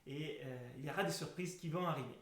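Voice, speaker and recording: A man's voice: a little high, fast, fairly bright tone. A man with an Italian accent giving an informal explanatory lesson as a breathwork instructor. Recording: echoey room sound.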